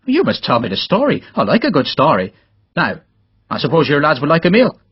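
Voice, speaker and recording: A man's voice: medium pitch, big pitch swings, fairly quick, breathy edge, no echo, dull audio. A man voicing a hearty, blunt middle-aged Irish engineer captain in an audiobook. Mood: delighted. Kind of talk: audiobook character dialogue.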